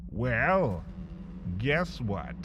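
A man hosting and narrating a scripted comic horror piece, theatrical and drawn out, swinging from whispers to shouts. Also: medium pitch, huge pitch swings, very slow, noisy background. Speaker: host and narrator.

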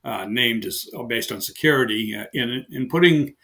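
A man with a heavy Boston accent, a guest engineer explaining his work in a casual interview, halting with many fillers and restarts. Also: mid-range voice, pushed and bright. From Boston area, USA.

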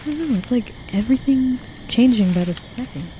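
Suspicious, stubborn young female hiker, played by a woman, higher pitch, urgent and natural, audio drama. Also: animated, brisk, warm tone, a little hiss or hum, natural voice, low-quality recording. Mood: uneasy, musing. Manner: earnest, insistent, urgent. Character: suspicious, headstrong young woman lost camping, haunted by lake.

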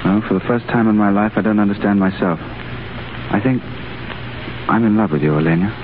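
A man's voice: fairly low pitch, normal speed, background noise, close to an everyday voice. An actor playing a restless, romantic English diplomat in 1930s radio drama, suave and wry in his banter. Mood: ardent. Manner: suave, bantering, questioning tags. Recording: tinny 1930s radio broadcast with music.

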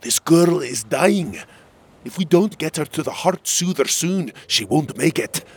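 Exiled royal warrior for a storybook, male narrator acting: stern, authoritative, heavily rasped and husky. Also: on the low side, very animated, measured pace, fairly bright tone, full character voice. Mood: commanding, indignant. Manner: commanding, grave.

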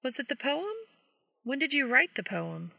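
A woman playing an eager, higher-voiced young woman. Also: very animated, normal speed, vocal fry, sharp tone, dry recording, full character voice. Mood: subdued, cautious. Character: eager young woman, excitable, then cautious and subdued.

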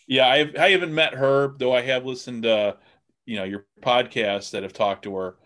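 Male podcast host, casual conversation, medium pitch. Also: fairly even intonation, moderate pace, fairly bright tone. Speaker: podcast host interviewing producers.

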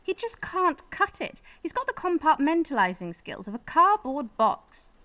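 Sardonic scheming political wife, high animated voice played by a woman. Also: normal speed, dry recording, full character voice. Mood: exasperated. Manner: sardonic, exasperated, sweary. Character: scheming, bitter, foul-mouthed female politician, prime minister's ambitious wife. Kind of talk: radio play acting, monologue.

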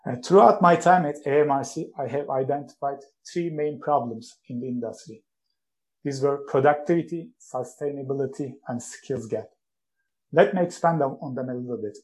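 This is a man, answering interview questions about his work. His voice is mellow and warm rather than bright. He speaks in a measured, explanatory way and sometimes restarts a phrase. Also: mid-range voice. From Turkey. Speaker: guest, company founder.

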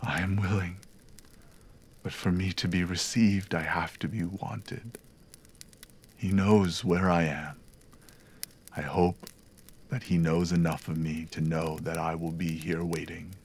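A man doing a gentle grieving father. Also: low voice, flat delivery, a little slow, slightly rough, heavily acted. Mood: sorrowful. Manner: tender, pleading.

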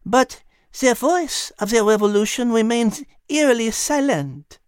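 A man voicing a refined, gentle female French cat with a French accent, in a storybook narration. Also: extremely high pitch, expressive, measured pace, smooth, no room sound, clean studio sound, voice pushed up. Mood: wistful. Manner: genteel, soft-spoken, sprinkles French words.

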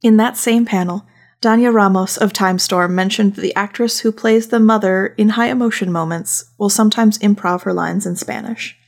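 A woman talks through her podcast in a casual, partly scripted style. Her mid-pitched voice has plenty of vocal fry, and she drops in wry asides. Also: fairly even intonation, moderate pace, darkish tone. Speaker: podcast host, voice director.

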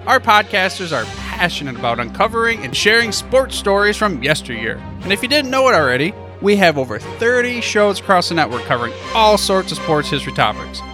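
A man's voice: sits high, sing-song, a little fast, hardly any pauses. A man hosting a podcast, giving a casual promo read and sign-off straight to his listeners. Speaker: podcast host. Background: faint music.

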